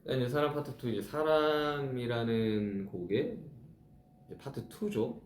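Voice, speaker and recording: A man's voice: medium pitch, animated, moderate pace, roomy sound, a little hiss or hum. A man in his thirties, a musician chatting casually with fans on a live stream, reflectively explaining how he writes his songs. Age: 30s.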